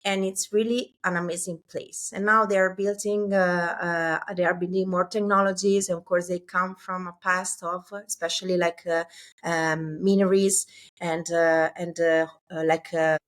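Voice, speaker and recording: Woman, Italian accent, interview guest, lowish voice, halting, searching for words. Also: flat delivery, a bit bright. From Italy. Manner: halting, many filler sounds, searching for English words. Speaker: startup CEO guest. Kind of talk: conversational interview.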